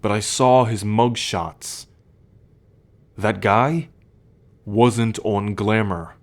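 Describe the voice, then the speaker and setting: Man voicing a young male werewolf, protective, troubled, ASMR roleplay, low-pitched, very slow, intimate halting delivery. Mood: earnest. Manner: measured, halting, intimate confiding tone.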